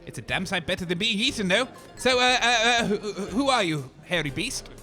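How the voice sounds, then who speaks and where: Hapless mutant radio man male actor: extremely high register, meek, hesitant, melodramatic, audio comedy with music underneath. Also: expressive, a little fast, bright, forceful tone. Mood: bewildered. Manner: hesitant, bumbling. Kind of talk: comedy audio drama acting.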